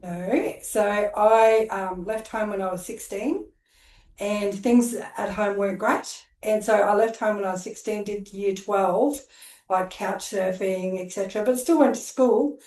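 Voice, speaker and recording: Middle-aged Australian woman, social worker guest; chatty, rambling delivery, average pitch, roomy echoey recording. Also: unhurried, a little mellow, distant mic. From Queensland, Australia. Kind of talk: casual storytelling interview. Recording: roomy echo.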